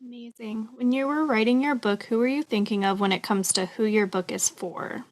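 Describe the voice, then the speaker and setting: A woman's voice: fairly high pitch, moderate pace. A woman hosting an interview, asking prepared questions in a polite, upbeat way. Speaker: interview host.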